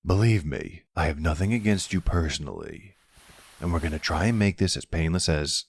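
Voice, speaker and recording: A man's voice: sing-song, normal speed, heavily acted. A man playing a weary, exasperated but courteous mafia boss in an ASMR audio roleplay, with wry, colloquial delivery. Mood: weary, placating. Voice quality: deep, smooth, gravelly creak. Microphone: close, dry microphone.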